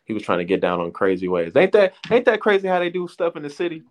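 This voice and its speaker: A man's voice: on the high side, wildly swooping pitch, fast. A man in his thirties from the Detroit area, telling his life story in a rambling, slangy way as an interview guest. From Detroit area, USA. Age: 30s. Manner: rambling, many restarts and slang. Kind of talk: casual personal testimony.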